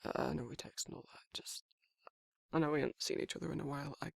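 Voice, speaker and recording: A man playing a shy, protective werewolf in a romantic ASMR roleplay, speaking haltingly and reassuringly in a gravelly, husky voice. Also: a little high, steady tone, no echo, clean studio sound. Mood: shy, hesitant. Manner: halting, awkward, softly reassuring. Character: shy, protective, tender young male werewolf in love. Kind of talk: romantic ASMR audio roleplay, intimate and conversational.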